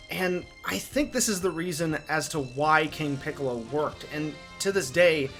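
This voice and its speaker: Emphatic male video essayist, soft music behind. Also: fairly high pitch. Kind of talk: opinionated video essay commentary.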